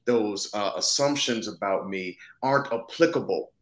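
A man with a fairly low voice talks on a video call as an interview guest. He is reflective and informal, thinking aloud and often checking that the listener follows him. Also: normal speed. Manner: thoughtful, casual, punctuated with "you know what I'm saying". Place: indoor room, video call. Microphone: video call, seated close to the camera.

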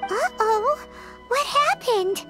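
A woman's voice: extremely high pitch, lively intonation, very slow, full character voice. A woman voicing a tiny, childlike, inquisitive fairy companion in a video game, who speaks of herself in the third person. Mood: alarmed. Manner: talks about self in third person. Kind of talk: video game character acting. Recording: background music under the voice.

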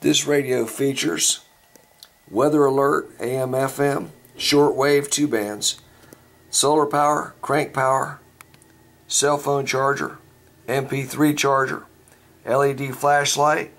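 A man hosting a casual hands-on product review, talking in a folksy, informal, halting way. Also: mid-range voice, steady tone, a bit bright. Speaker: host of a product review video channel. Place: at a tabletop, later out in a backyard. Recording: clear background noise, home camera recording.

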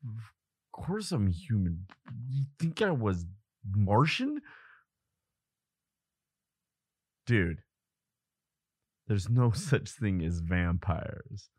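Man acting husky, devoted, protective boyfriend. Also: fairly low pitch, big pitch swings, slow, long pauses, darkish tone, no echo, exaggerated voice. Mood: wary, dismissive. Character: devoted boyfriend of a vampire, protective, frantic, defiant. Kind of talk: dramatic solo audio roleplay.

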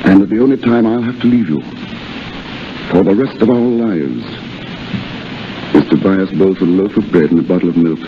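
A man's voice: sits low, moderate pace, long pauses, noisy background. A man playing a tender, earnest young army officer in a 1930s radio drama, speaking with clipped dramatic delivery. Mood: tender, urgent. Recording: muffled old 1930s radio recording with music.

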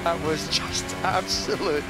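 A man's voice: sits high, fairly even intonation, a little slow, vocal fry, over loud music, low-quality recording. A man delivering live motorsport commentary as a race commentator.

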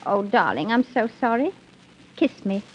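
An actress playing a young, adoring bride with a coaxing, needy way of talking in an old radio play. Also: female voice, medium pitch, sing-song, moderate pace, highs cut off, slight background noise, lightly acted.

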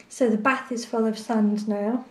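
A woman's voice: fairly high pitch, steady tone, a little slow, a little mellow, reverberant. A woman chatting playfully in make-believe fashion to introduce a bedtime story.

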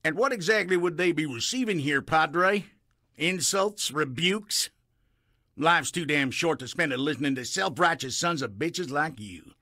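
An actor playing a brash, mocking Western saloon owner in a video game, taunting and sardonic. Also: male voice, a little high, expressive, unhurried, pushed and bright, no room sound, clean studio sound, heavily acted. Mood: mocking, defiant. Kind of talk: dramatic video game acting.

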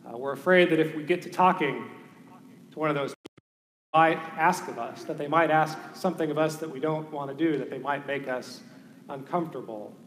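A man's voice: fairly high pitch, fairly quick, fairly bright tone, slight background noise. A man in his thirties with a Southern American accent, a priest giving an earnest sermon, hesitant, with many ums. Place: large church sanctuary. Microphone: far camera. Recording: echoey church from far off.

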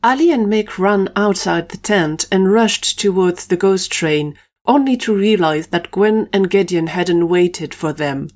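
A woman's voice: mid-range voice, a little slow, few pauses, darkish tone. A woman narrating a scripted audio drama story.

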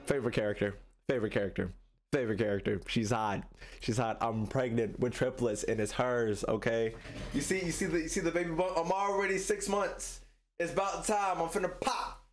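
A young American man giving casual, excited reaction commentary, slangy and playful, often repeating his phrases. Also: medium pitch, brisk. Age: young adult. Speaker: reaction video host. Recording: loud game music behind voice.

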